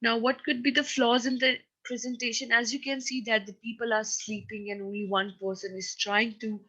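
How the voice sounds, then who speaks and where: A woman's voice: a little high, flat delivery, moderate pace, bright, forceful tone, rough recording. A woman with a Pakistani accent teaching a recorded lesson, speaking in an instructive, emphatic way and often checking that her listeners follow. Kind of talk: recorded lecture on presentation skills.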